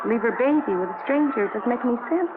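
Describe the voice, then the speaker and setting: A woman's voice: on the high side, lively intonation, fast, close to an everyday voice. An actress playing a talkative, polite and fretful American woman traveler in a radio drama. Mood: upset, puzzled. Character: chatty, fretful, kindly female bus traveler. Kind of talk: 1950s radio drama dialogue. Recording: noisy, tinny old radio recording.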